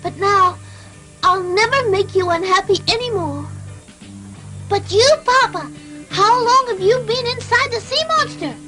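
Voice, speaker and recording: Very high-voiced little puppet boy. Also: measured pace, over music, rough recording. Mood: joyful, remorseful. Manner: exclamatory, earnest, dramatic. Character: eager, anxious little wooden puppet boy. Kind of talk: cartoon dub acting.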